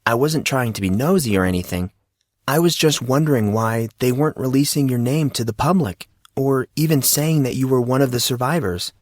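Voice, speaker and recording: A man's voice: medium pitch, brisk, dry recording, studio quality, voice pushed up, close to an everyday voice. A man voicing a chatty, well-informed teenage schoolboy in an audiobook, explaining things casually and eagerly. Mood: apologetic.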